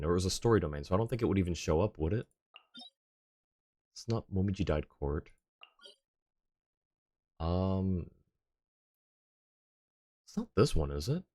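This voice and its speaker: A man's voice: deep voice, expressive, normal speed, pauses often, warm tone, no echo. A man talking casually on a live gaming stream, rambling and thinking aloud as he goes. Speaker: game livestreamer.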